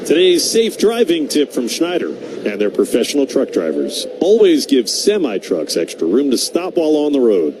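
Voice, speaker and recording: Male American baseball play-by-play voice, medium pitch, live commentary and ad reads, noisy ballpark broadcast. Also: wildly swooping pitch, normal speed. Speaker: baseball radio play-by-play announcer.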